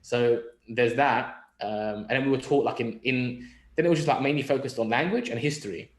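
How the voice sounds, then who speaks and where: An echoey room surrounds this young man with a London accent. He talks at a middle pitch in a casual podcast style, thinking aloud and often putting questions to himself. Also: fairly quick. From London, England. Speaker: podcast speaker. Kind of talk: casual reflective podcast chat. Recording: roomy echo on the voice.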